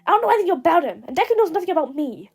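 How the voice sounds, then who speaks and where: A woman's voice: very high-pitched, big pitch swings, very fast, a little mellow, pitched above the performer's own voice, full character voice. Woman reading as a snappish, hot-headed teenage boy. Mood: defensive anger. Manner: curt, snapping, swearing. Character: short-tempered, foul-mouthed teenage boy, snappish and defiant.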